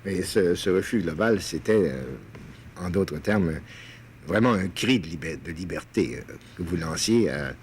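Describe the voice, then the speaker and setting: A man, interviewing for a broadcast in measured, articulate sentences. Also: sits low. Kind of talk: interview questioning. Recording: 1960s broadcast recording with background noise.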